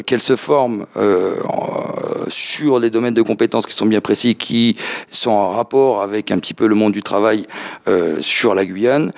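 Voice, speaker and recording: Guy in his 30s on a tv interview, low gravelly voice, lots of ums and restarts. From mainland France. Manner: hesitant, many fillers and restarts. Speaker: interview guest. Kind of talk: casual TV interview answers.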